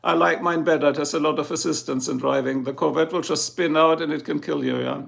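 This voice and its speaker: A man's voice: fairly high pitch, steady tone, quick pace, vocal fry, fairly bright tone. A middle-aged man with a German accent, chatting casually with viewers on his livestream and answering their comments. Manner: chatty, answers viewers one by one, chuckles often. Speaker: livestream host.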